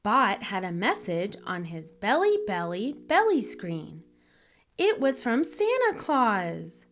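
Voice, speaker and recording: A woman reading a children's story aloud in an expressive, deliberate storytelling manner for young listeners. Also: medium pitch. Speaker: children's book reader, channel host.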